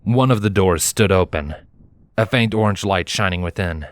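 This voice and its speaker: A man's voice: low voice, normal speed, no echo. A man narrating a fantasy story in a dramatic, scripted reading style.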